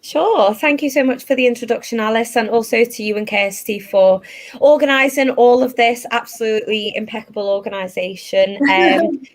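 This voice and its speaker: A woman's voice: on the high side, moderate pace. A woman with a Liverpool accent, a tech recruiter speaking as a guest on a webinar in a chatty way, with lots of fillers, over a video call. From Liverpool, England. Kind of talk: casual webinar talk.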